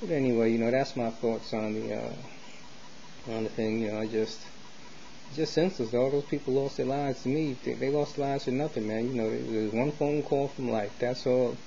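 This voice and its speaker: A man's voice: mid-range voice, fairly even intonation, normal speed, vocal fry, warm tone. An American man in a casual, rambling vlog monologue, speaking colloquially with street slang and often repeating himself as he circles back. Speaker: vlogger sharing opinions. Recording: dull lo-fi sound with faint noise.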